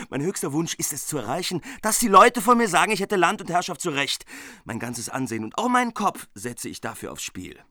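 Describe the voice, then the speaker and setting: An actor playing an arrogant, boastful young warrior hero in a German radio drama. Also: male voice, a little high, sing-song, a little fast, pushed and bright, no echo, studio quality. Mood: boastful, menacing. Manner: boastful, provocative.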